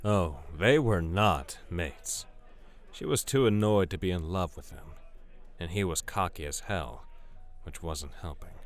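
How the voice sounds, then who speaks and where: A man's voice: animated, a little slow. A man playing a wry, century-old male vampire who talks conversationally in an audio drama. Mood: suspicious. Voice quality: low-pitched.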